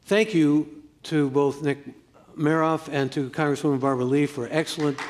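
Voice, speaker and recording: A man's voice: on the high side, steady tone, normal speed, darkish tone, long reverb tail. A man moderating a panel on a theater stage, genially wrapping up the discussion.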